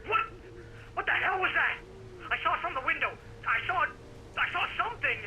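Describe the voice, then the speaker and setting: An actor playing a man who is a panicky, excitable friend, flustered and repeating himself. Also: extremely high pitch, normal speed, noisy background. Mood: panicked.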